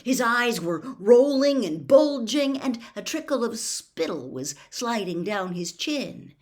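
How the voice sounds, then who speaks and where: A woman's voice: a little high, big pitch swings, slow. Woman reading a storybook aloud. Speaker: audiobook reader. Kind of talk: scripted storybook narration.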